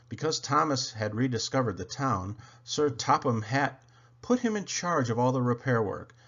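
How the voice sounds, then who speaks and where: A man narrates a children's picture book as a read-aloud. His delivery is expressive and animated, with pitch that moves widely from phrase to phrase. The recording sounds somewhat dull and lo-fi. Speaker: storybook reader.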